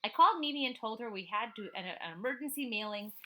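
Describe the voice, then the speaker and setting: A woman reading a children's book aloud like a teacher, expressively, with chatty asides in an animated, emphatic manner. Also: fairly high pitch, quick pace, background noise.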